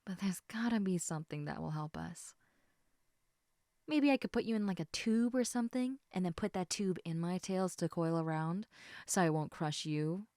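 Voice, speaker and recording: A woman playing a caring, slightly snarky snake woman in an intimate audio roleplay, speaking in a soft, hesitant conversational murmur that dips into whispers. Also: on the low side, normal speed, no echo, clean studio sound, lightly acted. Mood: coaxing, puzzled.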